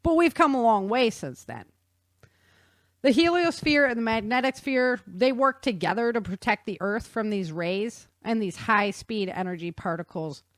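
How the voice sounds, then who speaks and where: A woman's voice: a little high, animated, normal speed, no echo. A woman hosting a talk show, explaining science in a chatty, informal way and checking in with her listener.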